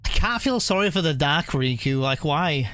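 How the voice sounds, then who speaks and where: Low, smooth-voiced woman streaming a game. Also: expressive, normal speed, a bit bright, dry recording. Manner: musing aloud, repeating herself. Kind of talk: casual live reaction commentary.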